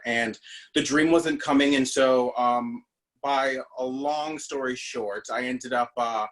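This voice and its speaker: A man from the Bahamas talks through his path as a stage actor in a loose, chatty way. His voice sits at an ordinary middle pitch, and he fills his sentences with fillers and restarts. He is heard over a video call. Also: fairly bright tone. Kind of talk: casual interview storytelling.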